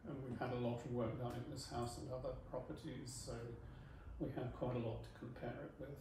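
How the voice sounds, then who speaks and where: A polite, measured man in his fifties speaks calmly as a client. The sound is roomy and distant, picked up by a camera across the table. A steady background hiss sits under his voice. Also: medium pitch. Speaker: client giving feedback. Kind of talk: casual interview. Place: home dining room, indoors. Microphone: far camera. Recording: echoey room, far from mic, noisy.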